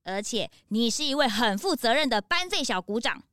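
Brief: bright-eyed kid animal sidekick, high and very animated, earnest, children's detective drama. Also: female voice, normal speed, no room sound, clean studio sound. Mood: earnest, deducing. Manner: inquisitive, excitable, earnest.

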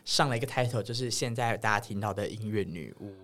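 A man's voice: fairly low pitch, quick pace, a bit bright. A man with a Taiwanese Mandarin accent co-hosting a casual, bantering chat. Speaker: podcast co-host.

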